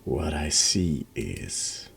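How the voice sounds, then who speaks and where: Super deep voiced guy doing asmr horror game, slow, understated but pitch moves around a lot. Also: big pitch swings, creaky, fairly bright tone, slightly rough. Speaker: streamer playing a horror game. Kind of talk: quiet ASMR reactions and reading game text aloud.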